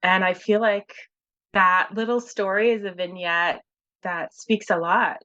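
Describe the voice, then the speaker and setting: A woman's voice: medium pitch, steady tone, unhurried, pushed and bright, dull audio. A woman speaking hesitantly and reflectively, searching for words over a video call. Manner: searching, hesitant, many fillers. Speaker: conversation participant. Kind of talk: personal reflective talk.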